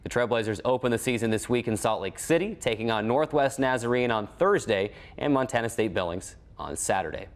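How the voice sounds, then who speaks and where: A man's voice: medium pitch, a little fast, few pauses. A man hosting a sports show, recapping results and interviewing guests in a studio. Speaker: sports show host and play-by-play announcer.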